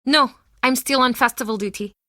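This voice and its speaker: A woman's voice: high-pitched, fairly even intonation, fairly quick, pushed and bright, dry recording, studio quality. An actress playing a proud, guarded townswoman nursing old wounds in a video game. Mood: proud, earnest.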